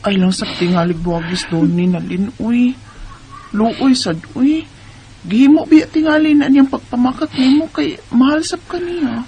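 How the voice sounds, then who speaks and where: Actress as a very high-voiced, exclamatory confidante. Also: female voice, moderate pace, warm tone, lightly acted, rough recording. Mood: sympathetic. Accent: Bisaya Filipino. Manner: gossipy, exclamatory. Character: shocked, sympathetic female friend and confidante. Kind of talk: Bisaya radio drama acting. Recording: faint background noise.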